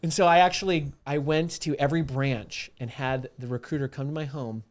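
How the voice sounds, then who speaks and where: A man with a somewhat high voice hosts a casual podcast. He talks in loose, slangy, conversational language, telling stories as he goes. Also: steady tone, fairly quick. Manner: informal, slangy. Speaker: podcast host. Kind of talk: casual chat, animated storytelling.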